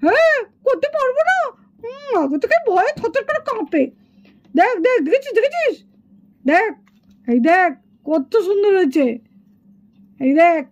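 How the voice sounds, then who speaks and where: A woman's voice: extremely high pitch, sing-song, normal speed, pauses often, highs cut off. A woman voicing a cheeky, boastful, bossy little schoolgirl doll in a children's puppet show.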